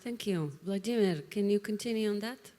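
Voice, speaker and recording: A woman's voice: medium pitch, moderate pace, mellow. Upbeat woman moderating a panel. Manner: upbeat, conversational, wraps up the session.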